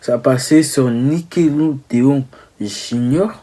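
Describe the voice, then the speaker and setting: Young male vlogger, mid-pitched and mellow, casual teasing delivery with stumbles and self-corrections. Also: takes it slowly. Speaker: video creator. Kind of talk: casual humorous commentary.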